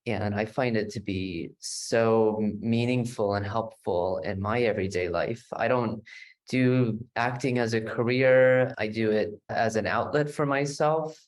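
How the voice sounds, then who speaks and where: A man's voice: lowish voice, poor audio. A man on a video call, joking at first and then earnest about acting as an outlet. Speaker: acting student guest. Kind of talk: casual video call introduction.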